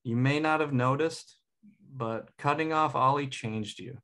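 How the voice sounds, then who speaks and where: A man's voice: mid-range voice, measured pace, a bit bright, close to an everyday voice. A man acts out a supportive best friend in a sitcom, cocky yet insightful. His voice is mid-range and conversational. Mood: earnest. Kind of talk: table read of a sitcom pilot. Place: home room on a video call.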